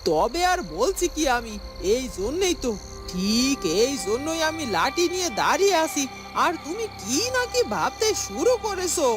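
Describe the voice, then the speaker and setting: A woman's voice: high voice, very animated, normal speed. A woman voicing the sly, scheming wife of a village headman in a cartoon, theatrical and wheedling. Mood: placating, wheedling. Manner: theatrical, wheedling, self-questioning. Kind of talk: dramatic cartoon voice acting. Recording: music behind the voice.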